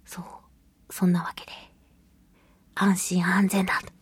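A woman hosting a solo radio show, chatting in an animated, self-deprecating storytelling manner. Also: on the low side, unhurried, husky. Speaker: radio show host and voice actress.

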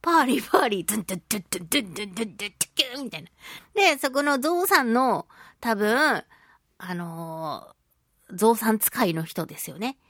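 Animated, high-pitched woman radio host. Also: fast talker, dry recording, studio quality. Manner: playful, animated, acting out sound effects. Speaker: voice actor hosting her own radio talk show. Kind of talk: casual solo radio chat.

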